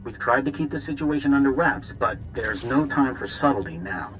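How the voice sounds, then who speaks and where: A man's voice: medium pitch, animated, normal speed, close to an everyday voice, low-quality recording. Guy acting a serious spy agency director, urgent clipped briefing, game dialogue with music behind. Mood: grave, urgent. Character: grave, urgent male military intelligence director. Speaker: intelligence director. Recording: dramatic music underneath.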